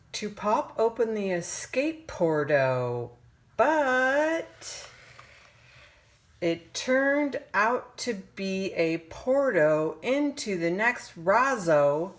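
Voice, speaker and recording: A woman reads a children's storybook aloud, taking her time over every phrase. Her pitch moves up and down in an animated way, and she draws words out dramatically with pauses for suspense. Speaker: parent reading a picture book aloud.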